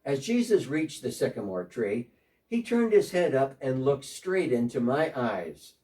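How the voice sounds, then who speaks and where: A man's voice: mid-range voice, sing-song, measured pace, darkish tone, far from the mic. A man playing an eager, repentant tax collector. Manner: earnest storytelling, talking as if on a phone call. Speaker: dramatic reader. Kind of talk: first-person dramatic monologue.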